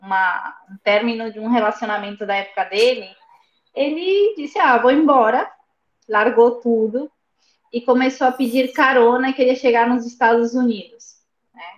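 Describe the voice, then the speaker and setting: A woman's voice: fairly high pitch, normal speed. Woman with a slight Argentine accent. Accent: slight Argentine Spanish. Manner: chatty, recounts family story with restarts. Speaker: guest. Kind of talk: casual storytelling interview. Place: remote call. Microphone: earphones with phone in front. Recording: echoey, dull remote-call audio.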